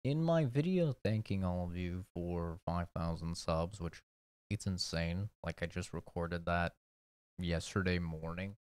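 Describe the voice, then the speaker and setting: A young man in his twenties talks in a low, smooth voice, easygoing and chatty, teasing himself as he goes. The sound is close and dry, with no room behind it. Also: a little slow, darkish tone, dry recording. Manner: laid-back, chatty, self-mocking. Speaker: YouTuber reacting to his old video. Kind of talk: casual reaction commentary.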